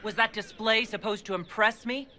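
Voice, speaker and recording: Woman playing a bright-voiced, defiant dwarf heroine. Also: mid-range voice, normal speed, lightly acted. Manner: plain-spoken, firm. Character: composed, defiant female dwarf leader. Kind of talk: dramatic fantasy game acting.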